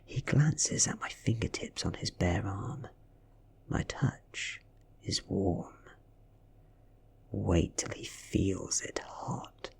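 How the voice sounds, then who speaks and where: A man with a British accent giving a soft-spoken, breathy and hushed ASMR reading of a story, delivered dramatically. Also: sits low, animated, slow, pauses often. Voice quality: breathy, whispery. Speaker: audiobook reader.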